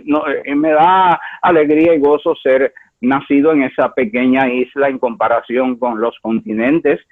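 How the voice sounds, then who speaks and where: A man from Cuba preaching a sermon as pastor, with emphatic delivery that swings between high exclamations and low asides, repeating words for effect. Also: steady tone, measured pace. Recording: tinny phone-like line.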